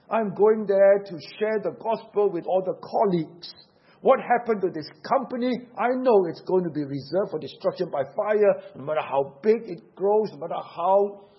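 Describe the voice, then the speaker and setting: A man's voice: high-pitched, breathy edge, muffled recording. A man from Singapore with a Singaporean English accent, preaching a sermon earnestly and urgently, asking rhetorical questions.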